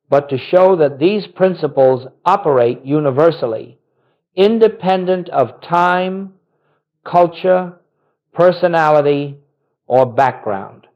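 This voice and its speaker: A man delivering a scripted spiritual sermon in a solemn, gentle manner, with short, deliberate phrases. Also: on the high side, dull audio. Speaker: spiritual teacher.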